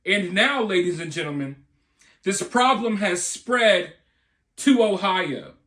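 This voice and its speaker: Male online news commentator, high-pitched, emphatic delivery with dramatic phrasing, recorded in an echoey room. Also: animated, takes it slowly, pushed and bright. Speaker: host of a news commentary video channel.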